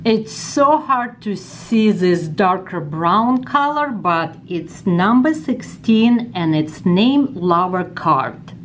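A woman's voice: mid-range voice, animated, takes it slowly, hardly any pauses, a little hiss or hum. A woman in her forties from Ukraine with a heavy Ukrainian accent, chatting enthusiastically through a makeup review and swatching products in a store. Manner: chatty, enthusiastic, exclaims often. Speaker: beauty vlogger. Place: department store makeup counter, indoors. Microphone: camera close up.

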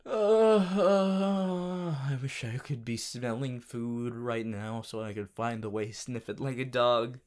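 A man voicing a goofy, melodramatic teenage anime boy in a fan audio roleplay, whiny and drawn-out, talking to the listener. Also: medium pitch, darkish tone, lightly acted. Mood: miserable, complaining.

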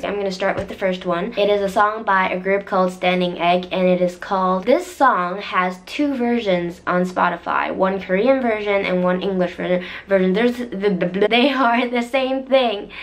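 A young woman in her twenties chatting casually to camera from a small bedroom, her voice still a bit deeper than usual after losing it. She fills her talk with "like" and often restarts and corrects herself. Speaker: vlogger. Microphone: camera in front at desk distance.

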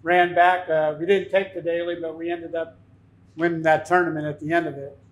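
A man's voice: a little high, flat delivery, brisk. A man in his fifties giving an informal, plain-spoken seminar talk as a fishing captain, telling anecdotes. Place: tackle shop, indoors, speaking to an audience. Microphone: lapel mic. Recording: echoey shop room.

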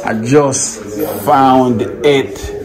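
A man's voice: medium pitch, drawn-out words, a crowd in the background. A man giving a casual walkthrough of a guest villa, talking straight to his viewers. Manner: relaxed, repeats himself, speaks to viewers as guys. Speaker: vlogger touring a guest villa.

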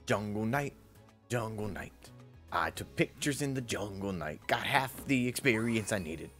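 Lively, sweary man on a game stream. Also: on the low side, measured pace. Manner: sweary, mock-boastful banter. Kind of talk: casual gameplay commentary, chatting with co-host. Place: indoor streaming setup. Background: game music.

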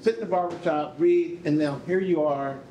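An older man with a fairly high voice hosts a live event on stage. He talks off the cuff and with animation to guests and audience. The room echoes around him. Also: moderate pace, dark tone, highs cut off. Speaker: event host, researcher. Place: indoor rehearsal hall, on stage. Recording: echoey room, faint background noise.

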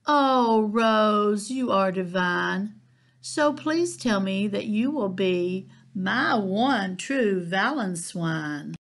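A woman's voice: medium pitch, lively intonation, very slow. A woman voicing a lonely little female piglet who pleads wistfully for a sweetheart in a storybook reading. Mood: hopeful.

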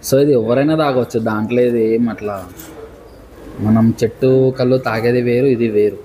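A man's voice: medium pitch, fairly even intonation, fairly quick, warm tone. A young man chatting casually to camera as a vlogger, with very noisy ambience around him. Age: young adult. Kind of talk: casual vlog chat to camera. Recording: very noisy outdoor and cafe ambience.

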